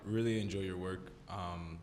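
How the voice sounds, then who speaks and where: A man's voice: sits low, fairly even intonation, moderate pace, darkish tone, echoey room, slight background noise. A man in the audience hesitantly asking the artist a question, recorded from far off in a gallery. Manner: hesitant, with "um" and "I guess".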